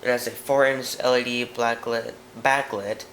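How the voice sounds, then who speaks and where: A man's voice: medium pitch, level tone, moderate pace, a bit bright, a little hiss or hum. A man with a stuffy nose hosting a casual tech video, talking through phone specs off camera and correcting himself as he goes. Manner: chatty, reads specs, frequent self-corrections. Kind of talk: casual product walkthrough.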